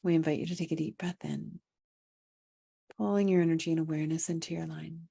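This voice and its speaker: A woman leading a guided meditation as a coach, speaking calmly and without hurry, with pauses and gently repeated phrases. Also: low voice, gravelly, husky. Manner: calm, unhurried, repetitive phrasing with pauses. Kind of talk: guided meditation, spoken instruction.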